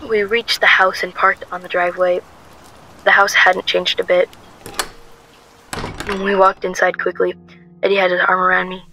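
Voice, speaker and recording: A woman's voice: fairly low pitch, little pitch movement, moderate pace, pauses often, sharp tone, faint music behind, low-quality recording. A woman playing a frightened young woman on the run, narrating and speaking in a radio drama. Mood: reflective. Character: Eleanor, frightened young woman on the run, telling her story. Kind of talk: first-person radio drama narration and dialogue.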